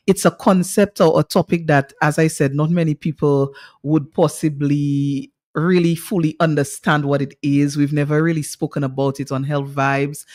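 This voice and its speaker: A woman's voice: sits low, darkish tone, dry recording, clean studio sound. A woman hosting a radio health talk show, speaking in a friendly, rambling way with restarts.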